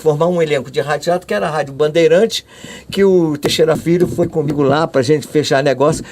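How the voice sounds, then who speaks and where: An older Brazilian man shares his recollections in an interview. His voice sits fairly high, and he talks haltingly, going back over phrases. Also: a little mellow. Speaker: interviewee, former radio artist.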